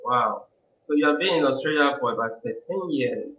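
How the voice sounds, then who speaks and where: A man's voice: mid-range voice, lively intonation, normal speed, roomy sound, dull audio. A male host opening and running a live online interview in a welcoming tone, with frequent "uh"s. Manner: welcoming, uses frequent fillers.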